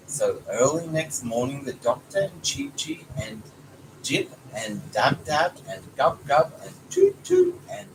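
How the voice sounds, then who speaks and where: A man's voice: fairly high pitch, wildly swooping pitch, unhurried. A man reading a children's storybook aloud as a father would to his child, with short asides. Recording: noisy home recording with background sounds. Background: clear ambient noise, young child nearby.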